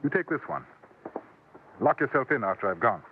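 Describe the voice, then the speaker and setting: An actor playing a courteous, coolly dangerous English gentleman adventurer in a 1950s radio western, speaking formally with a British accent on an old broadcast recording. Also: medium pitch, big pitch swings, normal speed, narrow, tinny audio, heavy background noise, close to an everyday voice. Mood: steady, concerned. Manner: formal, polite, precise. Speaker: lead character and narrator.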